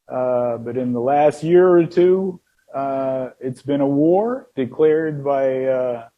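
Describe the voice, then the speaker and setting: A man hosting a studio chat, speaking haltingly with many fillers. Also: on the high side, mellow. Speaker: show host. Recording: dull lo-fi sound.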